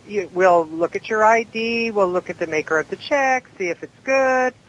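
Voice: medium pitch, moderate pace, a bit bright. A store employee handling a customer service call in a polite, formal manner. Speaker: check cashing store employee. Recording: phone call with faint noise.

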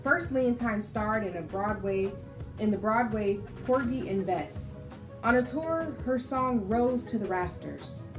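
With music playing clearly behind her, an American woman in her thirties reads aloud for children. Her voice sits at an average pitch. She has the instructive, expressive delivery of a storytime host. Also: a little slow, poor audio.

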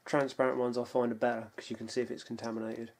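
A British man giving a casual, unscripted rundown of his kit in a low-key, halting way, as if thinking aloud. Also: level tone. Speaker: bushcraft hobbyist presenting his kit. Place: indoors on a wooden floor at home. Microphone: camera mic, a short distance away. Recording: noisy home video, clattering gear. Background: clicks and clatter of handled gear.

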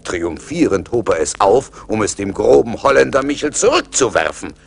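A male storyteller narrates a fairy tale on an old record, with music behind him. His low voice is gravelly and moves expressively, from hushed to dramatic. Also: big pitch swings, normal speed.

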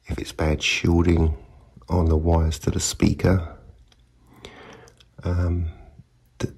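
Husky, very deep-voiced man. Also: mellow. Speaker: video maker, CB radio hobbyist. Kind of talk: casual unscripted demonstration.

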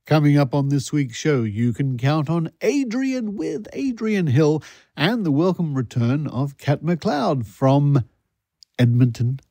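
Male podcast host, wry and playful, mellow tone, dry close sound. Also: mid-range voice, dry recording. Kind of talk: scripted, conversational podcast introduction.

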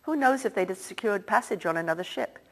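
A woman's voice: medium pitch, lively intonation, fast. Old rich theatre guy, confiding and a bit sad, leans in to tell you stuff, woman reading him out. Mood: somber. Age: older. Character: older Irish-born male theatre owner, wealthy, earnest. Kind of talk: audiobook character reading.

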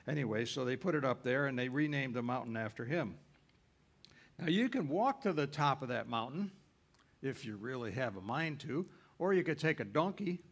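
A man's voice: mid-range voice, expressive, normal speed, creaky. A man giving an informal travel lecture on a cruise ship, folksy and rambling, with frequent uhs and self-corrections.

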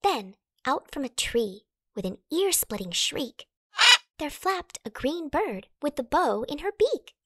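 A woman reads a rhyming children's story in a fairly high voice. Her delivery is very animated and dramatic, recorded close and dry. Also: slow pace, no echo. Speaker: storybook narrator. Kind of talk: expressive children's read-aloud in rhyme.

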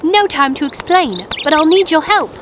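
A determined young female cartoon crow, talks high and emphatically. Her pitch moves about a lot and she sounds sure of herself. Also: lively intonation, normal speed, music in the background. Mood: earnest. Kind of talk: children's cartoon acting.

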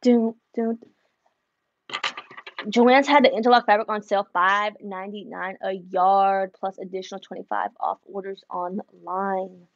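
A woman's voice: mid-range voice, steady tone, measured pace, no echo, highs cut off. A young woman whose first language is Spanish, chatting casually on a livestream from her embroidery studio, switching between English and Spanish. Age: young adult. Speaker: embroidery business vlogger. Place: embroidery studio room, indoors. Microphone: wireless earbuds.

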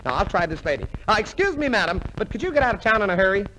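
A man's voice: high-pitched, sing-song, very fast, bright, forceful tone, exaggerated voice. A man playing a polite, earnest interviewer who gets exasperated, the straight man in a 1950s radio comedy sketch. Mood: flustered, earnest. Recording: noisy 1950s radio show recording.

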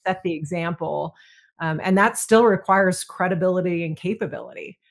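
Reflective woman, slightly low voice. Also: normal speed, gravelly. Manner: earnest, reflective. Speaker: guest, retired fighter pilot. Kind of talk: interview answers. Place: home room with bookshelves. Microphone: video call.

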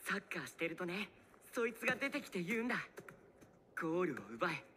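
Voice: mid-range voice, expressive, fairly quick, pushed and bright, slight background noise. An actor voicing a playful, slightly eerie teenage boy soccer player in a Japanese anime, airy and uncanny. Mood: dreamy, playful. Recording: music behind the dialogue.

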